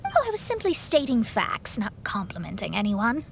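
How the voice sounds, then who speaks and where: Young astrologer for an anime game: high, animated, articulate, proud and guarded. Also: female voice, moderate pace, gravelly, slight background noise, exaggerated voice. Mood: flustered, defensive. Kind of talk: anime-style video game voice acting.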